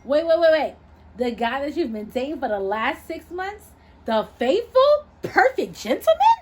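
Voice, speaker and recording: A woman's voice: high voice, big pitch swings, a little slow, a little mellow, pitched above the performer's own voice. A woman voicing a brash, sassy, unapologetic young woman in storybook dialogue, sarcastic, profane and slangy. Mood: incredulous. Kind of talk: expressive audiobook-style character dialogue.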